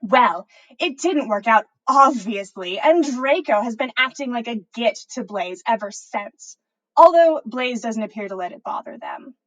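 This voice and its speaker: A woman's voice: fairly high pitch, lively intonation, measured pace, a bit bright, rough recording. A woman voicing a boastful, boy-crazy teenage witch schoolgirl who gushes and stretches out her words for emphasis in a story reading. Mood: boastful, gossipy.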